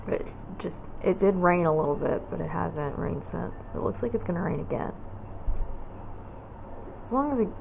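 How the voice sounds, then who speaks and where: A woman's voice: on the low side, lively intonation, moderate pace, gravelly, pauses often. A woman in her thirties, fighting off a cold, chatting and rambling casually while she films her day at home and outside. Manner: chatty, rambling, laughs often. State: congested from a cold. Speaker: vlogger. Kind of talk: casual vlog chat. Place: at home, then outside by the front door. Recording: dull lo-fi camera audio with background noise.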